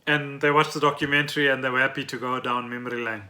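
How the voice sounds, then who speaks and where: A man's voice: medium pitch, level tone, fast, bright, forceful tone. A South African man co-hosting a podcast, explaining trending news in an informal, chatty way. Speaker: podcast co-host. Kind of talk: casual chat about trending news.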